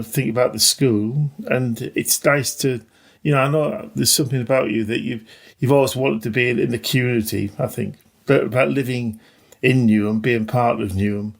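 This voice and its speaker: Husky, creaky middle-aged man, reflective. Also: mid-range voice. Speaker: maths teacher. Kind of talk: casual reflective interview answers.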